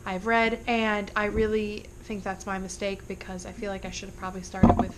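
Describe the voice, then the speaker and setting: A woman's voice: mid-range voice, little pitch movement, normal speed, very noisy. A young woman with a congested voice, chatting casually through a monthly book wrap-up with rambling asides. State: congested from illness. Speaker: book vlogger.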